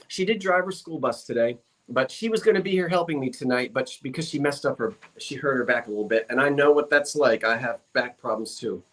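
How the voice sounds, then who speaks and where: A man's voice: medium pitch, fairly bright tone. Man, live-stream sale host; casual, rambling chat with viewers, many fillers. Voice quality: frequent fillers and self-corrections. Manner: chatty, rambling, reads out viewer comments.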